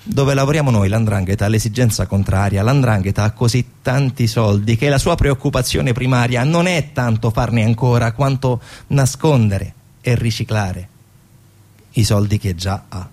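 A man's voice: mid-range voice, normal speed, darkish tone. A man narrating a theatrical documentary monologue in an explanatory way, posing rhetorical questions. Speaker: stage actor-narrator.

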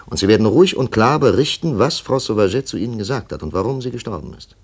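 Deep, animated, authoritative man. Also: slightly rough. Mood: firm, measured. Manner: deliberate, authoritative. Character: firm, composed male investigator, official and steady. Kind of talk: 1950s German radio drama acting.

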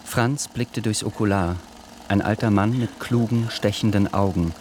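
A man narrating a radio drama in a calm, measured way. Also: lowish voice, slight background noise.

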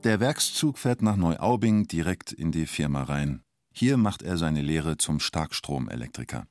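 A man's voice: a bass voice, lively intonation, measured pace, darkish tone, no room sound, soft background music. A man narrating a scripted documentary radio drama in terse, short phrases. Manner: terse present-tense storytelling in short clipped phrases.

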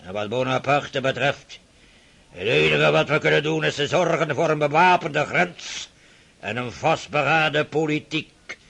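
Rich: A high, gravelly, husky old prince who rants and barks at everyone. He speaks slowly, in a bright, strained voice. He is a suspicious tyrant in a radio play. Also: full character voice. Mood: lecturing. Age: older. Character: irascible old male Russian prince, domineering.

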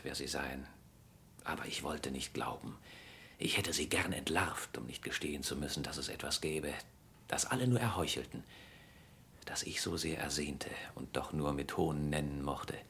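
An actor playing a courtly, cynical gentleman humbled by adoration in a German radio play, formal, measured and confessional. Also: a little hiss or hum, full character voice. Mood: tender confession. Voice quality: very deep, gravelly.

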